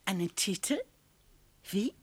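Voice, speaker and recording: A woman's voice: medium pitch, lively intonation, slow, breathy edge. An actress playing a haughty, title-proud old grandmother in a radio comedy, curt and formal in an old-fashioned way. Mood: graciously impressed. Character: imperious, title-proud old grandmother of a small-town family. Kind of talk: theatrical radio comedy acting.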